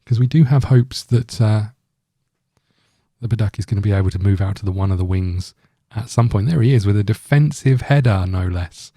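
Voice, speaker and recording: A man's voice: fairly low pitch, normal speed, mellow, no room sound, studio quality. A man hosting a gaming video, giving casual let's-play commentary in a rambling, thinking-aloud way.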